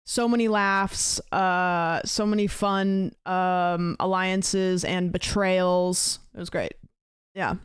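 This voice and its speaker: A woman's voice: fairly low pitch, steady tone, slow, clear, resonant tone, dry recording, studio quality. A woman in her late twenties, a streamer chatting casually with her viewers, rambling with lots of fillers. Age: late 20s.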